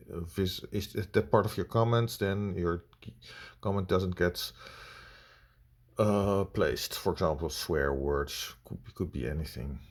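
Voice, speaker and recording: A man with a Dutch accent giving unscripted critical commentary in a halting, blunt, complaining way. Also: deep voice. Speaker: video reviewer.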